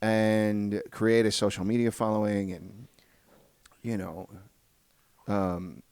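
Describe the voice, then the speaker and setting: Gravelly man hosting a casual interview. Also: fairly low pitch, level tone, darkish tone, no room sound. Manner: encouraging, repetitive, halting phrasing. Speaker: podcast host, interviewer.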